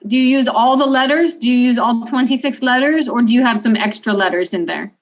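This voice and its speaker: A woman's voice: on the high side, brisk, muffled recording. A woman hosting a language podcast, asking curious follow-up questions. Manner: curious, asks short questions. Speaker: podcast host. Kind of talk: interviewing.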